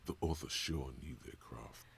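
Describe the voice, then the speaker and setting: A man voicing the brooding inner voice of a game detective, slow, florid and very deep, with a husky rasp. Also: a bit bright, slight background noise, full character voice. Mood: grandiose, savoring. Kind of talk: scripted game narration.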